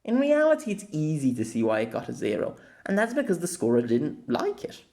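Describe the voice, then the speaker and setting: A man narrating a scripted opinion essay as host, in deliberate, formal phrasing with colloquial asides. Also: a little high, wildly swooping pitch, creaky, dark tone. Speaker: host of an anime audio essay.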